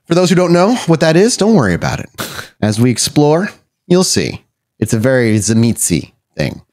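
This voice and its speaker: A man's voice: medium pitch, big pitch swings, normal speed, darkish tone. A man working as game master, narrating a tabletop horror roleplay game in improvised second-person storytelling.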